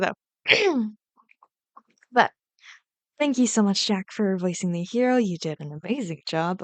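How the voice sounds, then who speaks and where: A woman gives a casual, rambling outro to her online audience, playful and gushing. Her mid-pitched voice has a noticeable creak and is recorded very dry. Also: a bit bright, no room sound. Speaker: YouTube ASMR voice actor. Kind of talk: casual chatty outro to viewers.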